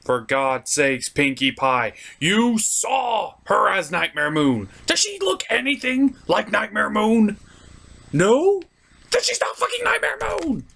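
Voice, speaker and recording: Animated higher-pitched man, ranting comedic reviewer. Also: slow pace, creaky, husky. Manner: exasperated, sweary ranting delivery. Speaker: puppet-show reviewer and host. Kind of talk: comedic scripted review commentary.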